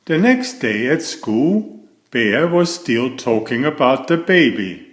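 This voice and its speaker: A man narrates a children's picture book at an unhurried pace, with plenty of rise and fall in his pitch. His telling is deliberate and expressive, and the room around him echoes. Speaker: storybook narrator. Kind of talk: read-aloud children's story narration.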